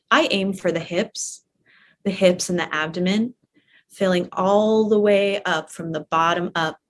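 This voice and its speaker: A woman teaching a guided relaxation class in a gentle, permissive, conversational way, often repeating her phrases softly. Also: on the low side. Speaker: yoga nidra teacher guiding a live class.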